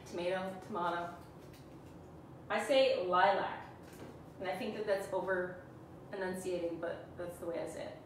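A woman's voice: fairly low pitch, a little slow, pauses often, slight background noise. Canadian woman in her forties, chatty and self-teasing crafts host, echoey room, mic far off. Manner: chatty, self-teasing, talks to viewers while working. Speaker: furniture painting tutor. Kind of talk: live painting demo chat. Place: small room indoors, sitting on the floor. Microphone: camera on a stand a few feet away.

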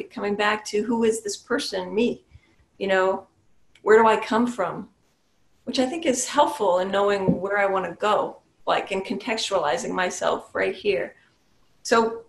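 A woman's voice: mid-range voice, vocal fry, reverberant. A woman from New Hampshire who is a Zen priest and meditation teacher, talking thoughtfully about her own story on a video call from a small home room. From New Hampshire, USA. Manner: thoughtful, unhurried, with small restarts and soft laughs. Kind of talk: reflective personal sharing on a video call. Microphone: webcam on a video call.

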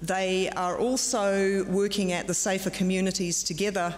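A woman's voice: medium pitch, fairly even intonation. Middle-aged woman, podium microphone, conference room, long hall reverb, firm and direct. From New Zealand. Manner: firm, direct and measured, with some fillers. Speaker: conference speaker. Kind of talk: formal presentation at a podium. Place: large conference room with audience.

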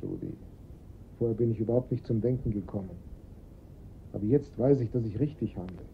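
An actor playing a strained, insistent father of a kidnapped boy in a German radio crime drama, with a husky voice. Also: lowish voice, fairly quick, a little hiss or hum. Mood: tense, determined. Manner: insistent, self-justifying. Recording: muffled lo-fi radio play recording.

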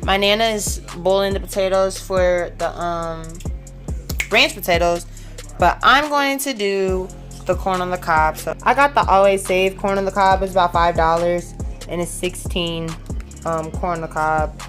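A young woman walks viewers through her cooking in a casual vlog. She talks into a phone in her home kitchen and often checks in with a quick "okay?". Soft music plays faintly behind her. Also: on the low side. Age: young adult. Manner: chatty, walks through each step, tags lines with "okay?". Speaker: vlogger. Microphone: handheld phone camera.

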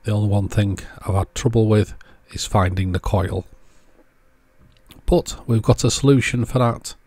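A man with a fairly low voice narrates a practical tutorial. He is plain-spoken and understated, explaining each step casually as a channel presenter would. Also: normal speed, a little mellow, no room sound. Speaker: presenter of an electronics repair channel.

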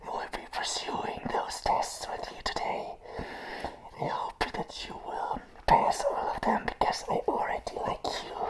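A young man playing a crazy, eager circus clown in a whispered ASMR roleplay, breathy and obsessively repeating words. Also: mid-range voice, poor audio.

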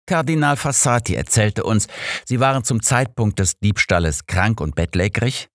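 Audiobook old master detective read by a man: low-pitched, precise, self-assured, explanatory. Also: expressive, normal speed, no echo, studio quality, close to an everyday voice. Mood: questioning. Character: old master detective, analytical, sure of himself.

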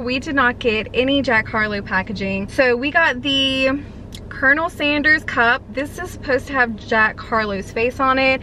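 A woman's voice: sits high, sharp tone, a little hiss or hum. A young woman from North Carolina with a light Southern accent, chatting casually in a rambling vlog from inside her car. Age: young adult. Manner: rambling, filler-heavy with lots of "like". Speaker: food vlogger. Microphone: camera on the dashboard.

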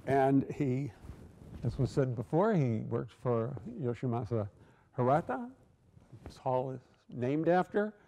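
A man's voice: mid-range voice, animated, unhurried, mellow. An older man giving a keynote lecture from a podium microphone in a large hall, telling a story with many fillers and restarts. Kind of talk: academic lecture.

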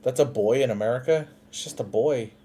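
Profane excitable streamer man. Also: medium pitch, fairly quick, background noise. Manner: profane, excitable, laughs and shouts often. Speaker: streamer reacting to videos. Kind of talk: live stream reaction, reading on-screen text aloud.

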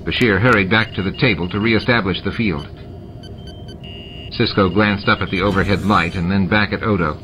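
A man reading a science fiction novel aloud in a steady, measured way. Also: sits low, background noise. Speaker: amateur audiobook reader. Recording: dull lo-fi recording with music.

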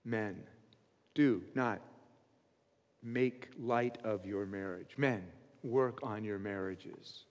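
A man in his sixties, a pastor, preaching an expository sermon from a church pulpit, earnest and rising in pitch and volume on key points. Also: very slow. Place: church stage behind a pulpit. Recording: long hall reverb of a church sanctuary.

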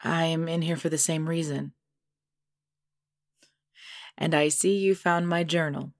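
Woman acting a low-voiced, proud, menacing cursed queen. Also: fairly even intonation, no room sound, studio quality. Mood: composed, formal. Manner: formal, measured, deliberate storytelling delivery. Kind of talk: scripted audio drama.

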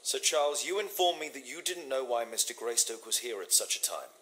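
A shrewd gentleman detective of Victorian London , played by a male actor. He speaks in formal, articulate, probing phrases with composed authority, though the melody of his speech moves widely. It is dialogue for a video game. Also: medium pitch, animated, brisk, slight background noise, close to an everyday voice, poor audio.

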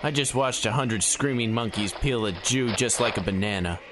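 A man's voice: mid-range voice, moderate pace, noisy background, close to an everyday voice. A man playing a hot-headed, bumbling time traveler in a comic audio sketch, with a melodramatic delivery. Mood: confrontational.

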